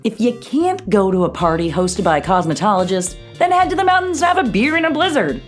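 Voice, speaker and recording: A woman's voice: mid-range voice, sing-song, a little fast, faint music behind. A woman presenting a show promo in a playful style.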